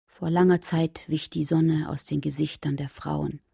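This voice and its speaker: A woman narrating poetry for a radio drama in a subdued, grave, unhurried manner. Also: sits low, steady tone, creaky, mellow, husky, no room sound, studio quality, heavily acted. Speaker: reader in a radio adaptation of a poem cycle.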